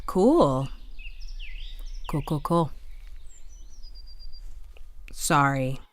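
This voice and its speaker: A young woman playing a flustered, apologetic, naive young widow in an audio drama, talking in halting, casual modern phrases. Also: deep voice, lively intonation, exaggerated voice. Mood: awkward, eager. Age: young adult. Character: nervous, chatty, naive very young widow in 1864. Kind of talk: naturalistic audio drama acting.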